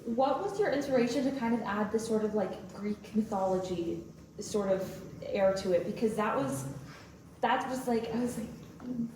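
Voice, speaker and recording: A woman's voice: on the high side, normal speed, darkish tone. A woman in an audience giving casual, enthusiastic, informal feedback and asking questions. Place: echoey theater room, recorded from afar. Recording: echoey room, distant mic, noisy.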